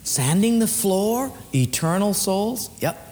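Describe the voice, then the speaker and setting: A man preaching an earnest sermon as pastor in a church, swinging between lifted emphatic lines and hushed ones, then leading a prayer. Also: sits high, big pitch swings, slow, big-room sound.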